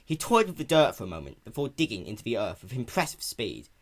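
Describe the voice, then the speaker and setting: A man's voice: mid-range voice, very animated, fast. A man narrating a piece of fan fiction as a dramatic reading.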